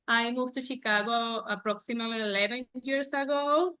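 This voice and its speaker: Bright, high-voiced Venezuelan-accented woman. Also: normal speed, clean tone. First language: Spanish. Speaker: guest, bakery owner. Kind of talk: casual personal storytelling in an interview.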